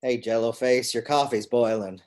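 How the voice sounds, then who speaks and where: A man's voice: medium pitch, steady tone, moderate pace, darkish tone, natural voice. A man voicing a kindly, plain-spoken old friend in a stage play reading, easygoing and awkwardly polite. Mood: mild, awkward.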